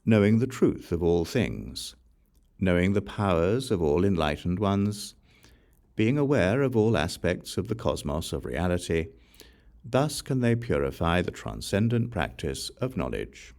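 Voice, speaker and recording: Low-voiced man reading scripture, widely varied intonation. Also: very animated, unhurried, creaky, darkish tone. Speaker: audiobook reader.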